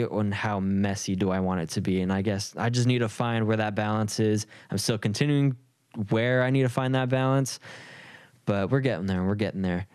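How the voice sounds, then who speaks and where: Low-voiced man talking casually, dry sound. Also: a little mellow, clear, resonant tone, no echo. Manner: informal, rambling, slangy. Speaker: music producer. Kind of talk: casual reflective talk.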